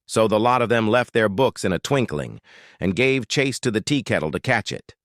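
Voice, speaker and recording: A man narrating a folktale as a storyteller, expressive and dramatic, with deliberate emphasis. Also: on the low side, no room sound, clean studio sound.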